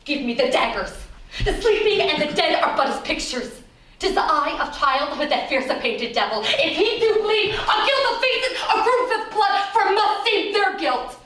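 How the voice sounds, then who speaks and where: Ruthless tragic lady female actor: imperious, declamatory, very high pitch, distant in a reverberant space. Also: expressive, moderate pace, fairly bright tone. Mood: scornful. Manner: commanding, declamatory. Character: commanding, scornful, ruthless noblewoman, female. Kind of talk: Shakespearean stage acting. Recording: very echoey hall, distant mic.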